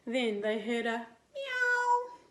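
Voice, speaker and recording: A woman, a teacher reading a picture book aloud to young children in an expressive, deliberate way. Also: a little high, background noise. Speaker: primary school teacher. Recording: roomy indoor echo.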